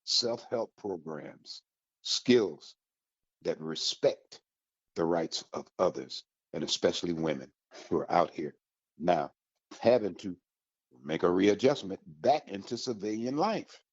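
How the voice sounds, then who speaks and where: An American man hosting an informational radio talk, speaking with emphatic, deliberate, motivational phrasing. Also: expressive, breathy edge. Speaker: radio host. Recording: muffled, roomy, echoey low-fidelity sound.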